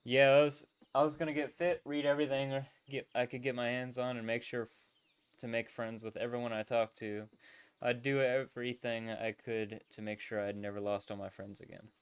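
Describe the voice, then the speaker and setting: A man's voice: mid-range voice, little pitch movement, a little fast, clean tone, lightly acted. A man voicing an earnest, sociable but self-doubting young trainer in a visual novel, casual and hesitant. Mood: vulnerable. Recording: music behind the voice.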